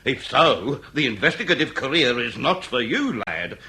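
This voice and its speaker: A man's voice: medium pitch, sing-song, normal speed, bright, forceful tone. A British man acting an exasperated, sardonic Victorian police inspector in a radio comedy drama, with emphatic, pompous delivery. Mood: brisk, sardonic. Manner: theatrical, emphatic, pompous phrasing.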